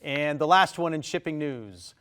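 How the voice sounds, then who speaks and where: This is a man hosting a quiz show in a TV studio, speaking with a Maine accent. His voice sits a little high, and he moves through questions at a brisk, upbeat clip, tossing off quick reactions to each answer. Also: fairly bright tone, clear, resonant tone. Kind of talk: reading quiz questions on air. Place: television studio.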